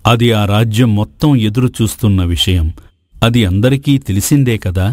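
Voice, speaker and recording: A man's voice: on the low side, moderate pace, a little mellow, no echo, clean studio sound, close to an everyday voice. A man playing a greedy, courtly ascetic sage in a moral folk tale, with formal, theatrical phrasing. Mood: curious, gracious. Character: flattering, greedy male ascetic sage, courtly and self-serving.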